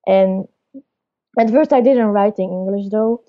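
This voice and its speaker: Slow-talking young woman. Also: medium pitch. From Belgium. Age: 20s. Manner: hesitant, searching for words, laughs softly. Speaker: storyteller guest. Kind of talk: casual interview answers. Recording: dull lo-fi audio.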